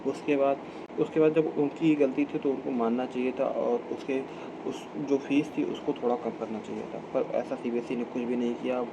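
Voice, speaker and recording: An Indian man giving an informal explainer as a video host, halting and repeating himself as he corrects his phrasing. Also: medium pitch. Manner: halting, repetitive phrasing with frequent self-corrections. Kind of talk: informal explainer talk to camera. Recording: clear steady background hum and ambient noise.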